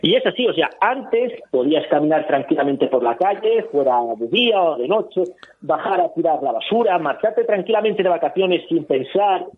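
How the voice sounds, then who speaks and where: Husky man in his thirties on a phone line. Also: on the high side, lively intonation, normal speed. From Spain. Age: 30s. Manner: earnest, illustrates with personal examples. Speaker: co-host. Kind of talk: talk radio discussion.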